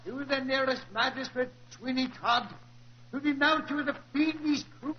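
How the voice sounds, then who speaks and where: On a muffled old radio recording with faint noise, an indignant stage accuser. He declaims in a very high voice in the manner of Victorian melodrama. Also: moderate pace. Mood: righteous, accusing.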